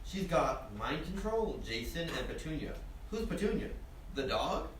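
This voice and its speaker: Man playing an excitable goofy spy, echoey room. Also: a little high, measured pace. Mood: curious. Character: excitable, goofy young male spy partner. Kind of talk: screenplay table read. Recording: echoey, distant room mic with noise.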